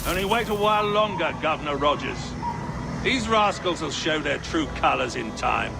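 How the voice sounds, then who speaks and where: An actor playing a haughty, menacing navy commodore with a pompous, sneering manner in a game. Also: male voice, fairly high pitch, lively intonation, normal speed, creaky, over music. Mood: contemptuous. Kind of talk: video game cutscene acting.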